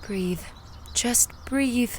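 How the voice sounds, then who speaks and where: A woman's voice: fairly high pitch, very slow, slight background noise. A woman playing a discouraged, anxious teenage schoolgirl in an audio drama, in a subdued, natural manner.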